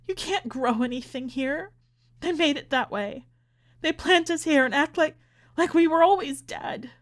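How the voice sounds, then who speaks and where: A woman's voice: sits high, measured pace, voice pushed up. A woman voicing an anguished, furious immigrant mother in an audiobook, pleading and insistent through her grief. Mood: pleading, bitter. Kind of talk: dramatic audiobook character reading.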